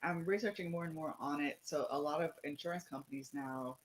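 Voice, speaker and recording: A woman's voice: low voice, a little fast, slight background noise. A woman from Trinidad chatting casually on a talk show in an informal, agreeable, reflective way.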